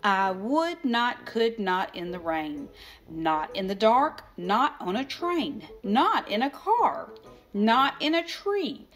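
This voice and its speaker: A woman voicing a stubborn, exasperated storybook grouch with emphatic, drawn-out protests. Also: a little high, sing-song, fairly bright tone, soft background music, full character voice. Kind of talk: expressive children's picture book read-aloud.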